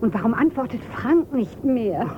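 Radio play: frightened, dependent youngster, very high voice, rumbling background. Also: female voice, very animated, moderate pace. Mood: uneasy. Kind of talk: German radio drama acting. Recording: muffled, with rumbling noise.

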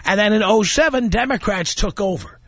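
A man's voice: high voice, animated, fairly quick, a bit bright, dry recording, clean studio sound. Blunt, exasperated radio host man. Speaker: radio talk show host. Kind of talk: political call-in talk radio.

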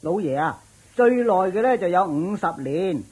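A woman voicing an obedient male household servant in a radio drama, who answers his master dutifully and calls out loudly to passers-by. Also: lowish voice, expressive, moderate pace, breathy edge. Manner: dutiful, then loud calling out.

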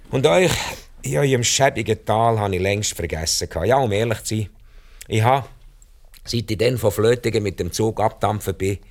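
Low-voiced, cynical old Swiss man. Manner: halting, blunt, world-weary monologue. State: in pain, short of breath. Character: ailing, ruthless old rich returned emigrant, cynical. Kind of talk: radio drama acting in Swiss-coloured German.

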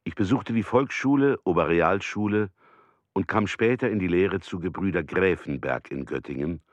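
An actor playing a formal German merchant in a documentary radio drama, reading out a letter in a measured, matter-of-fact way. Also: male voice, sits low, no room sound, lightly acted. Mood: formal, polite.

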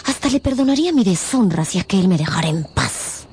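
A woman's voice: medium pitch, expressive, a little mellow, slightly rough. An actress playing a troubled, proud young woman in love in a radio drama, speaking earnestly. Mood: tender, wistful. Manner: deliberate, earnest. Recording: faint music behind the voice.

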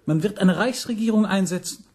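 A man playing a shrewd, deferential court adviser in a radio drama, with a raspy, gravelly voice. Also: sits high, a little fast, darkish tone. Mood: sly, persuasive. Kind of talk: German radio drama acting.

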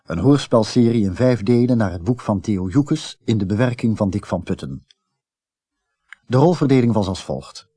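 A man announces radio credits from a script. His voice is dark and husky at medium pitch, recorded with little room sound. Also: animated, moderate pace, dry recording.

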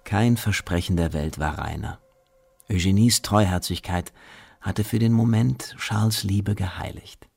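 Male narrator for radio drama, low husky voice with gravelly edge. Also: a little slow, a little mellow.

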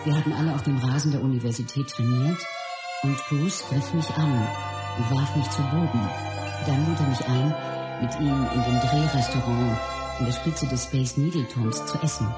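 A reflective woman remembers the man she loved, with loud string music behind her. Her delivery is measured, scripted memoir narration in a radio play. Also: very deep voice. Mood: reminiscing. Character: reflective wife remembering her martial artist love.

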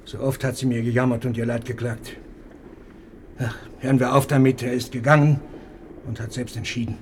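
A man's voice: medium pitch, little pitch movement, a little fast, a little hiss or hum, close to an everyday voice. An actor playing a bitter, stern yet resigned father and husband in a radio play, formal and ponderous.

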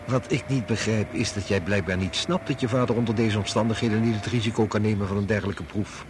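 An actor playing a level-headed, sober friend who talks in a cool, terse, quietly challenging way in a Dutch radio drama. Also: male voice, fairly low pitch, steady tone, fast talker, noisy background, close to an everyday voice.

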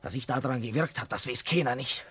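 A man acting a coarse, pushy rustic suitor in a German radio drama, cursing in dialect with a rough, husky voice. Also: medium pitch, brisk, a bit bright, dry recording, faint music behind. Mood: agitated, insistent.

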